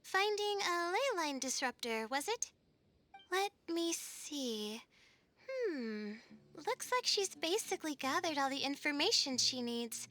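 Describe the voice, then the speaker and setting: A woman's voice: sits high, big pitch swings, slow, heavily acted. A woman voicing a thoughtful, composed young woman scholar in an anime-style video game. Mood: composed, musing. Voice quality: bright, youthful.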